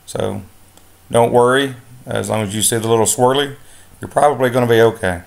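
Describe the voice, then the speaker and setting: Man, instructor, gravelly, relaxed, filler-heavy explaining. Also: medium pitch, a little fast. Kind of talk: screen-recorded software tutorial. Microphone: voice-over on a screen recording.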